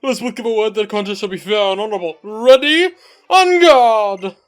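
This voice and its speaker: Man doing a high, pompous chivalrous knight. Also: moderate pace, clear, resonant tone, natural voice. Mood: proud, gallant. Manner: pompous, archaic, declamatory. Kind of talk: video game character reel.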